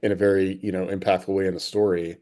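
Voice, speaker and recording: A man's voice: sits low, level tone, quick pace, dark tone. A man co-hosting a podcast, chatting casually as he puts questions and thoughts to a guest. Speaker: podcast co-host. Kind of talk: casual interview chat.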